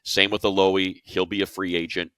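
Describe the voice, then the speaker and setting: A man's voice: low voice, level tone, brisk, a bit bright, clean tone, no echo. An American man giving casual sports analysis as a co-host, thinking aloud conversationally and checking in as he goes.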